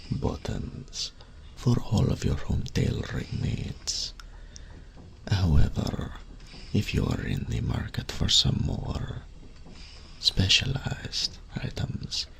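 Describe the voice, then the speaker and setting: A man playing an elderly, courteous master tailor in a fantasy audio roleplay, with a gravelly, breathy old voice and a formal, unhurried, deferential manner. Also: very deep voice, fairly bright tone, a little hiss or hum, full character voice. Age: older. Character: elderly courteous male master tailor, wistful and proud shopkeeper.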